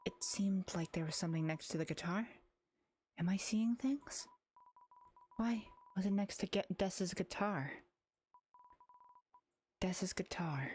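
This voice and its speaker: A woman's voice: on the low side, unhurried, long pauses, a little mellow, a little hiss or hum, natural voice. A woman voicing an anxious, lonely young girl in a visual novel, in an earnest, wistful inner monologue. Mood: uneasy. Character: anxious, lonely young girl narrating her haunted thoughts. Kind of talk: visual novel read-aloud. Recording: music behind the voice.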